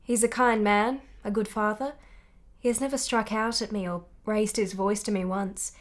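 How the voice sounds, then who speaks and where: A woman playing a candid young wife who yearns for romance in a radio drama, speaking in measured, articulate, intense phrases. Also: mid-range voice, steady tone, natural voice. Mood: reflective.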